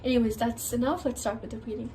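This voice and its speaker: A nasal woman with a high voice gives a casual tarot reading, sounding a bit under the weather. Her voice cracks now and then, and she leans on filler words as she rambles on. A faint hum sits underneath. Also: steady tone, fast talker, warm tone. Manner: chatty, heavy on filler words. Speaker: tarot reader.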